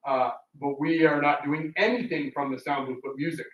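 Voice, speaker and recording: A man's voice: on the high side, moderate pace, a bit bright. A man talking casually on a live panel as a radio theatre performer, chatty and theatrical in his storytelling. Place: indoor room, public talk at a library. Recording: roomy, distant mic with background noise.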